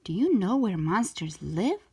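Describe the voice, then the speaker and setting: Storybook kid, played by a woman: earnest young girl, anxious and eager, very bouncy pitch, slightly husky. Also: big pitch swings, a little slow, mellow, full character voice.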